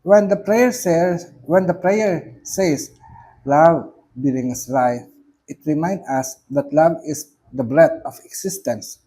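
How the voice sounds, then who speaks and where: A middle-aged man from the Philippines with a Filipino accent, a reverend reading a spiritual reflection aloud, slowly and with emphasis on key words. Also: a little high, warm tone, slightly rough, poor audio. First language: not English. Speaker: reverend giving commentary.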